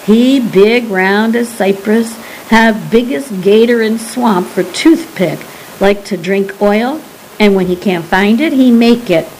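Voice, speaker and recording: A woman's voice: medium pitch, slow, darkish tone, slight background noise, lightly acted, poor audio. A woman voicing an old, superstitious Cajun swamp recluse man with a Cajun accent, speaking in broken dialect. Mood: storytelling. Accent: Cajun English, put on. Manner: broken dialect, pleading warnings.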